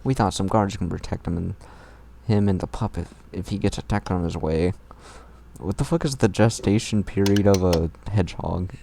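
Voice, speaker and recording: A man's voice: low voice, normal speed, vocal fry, warm tone, slight background noise. A man hosting a mocking fan fiction read-aloud, with casual, irreverent, profane asides.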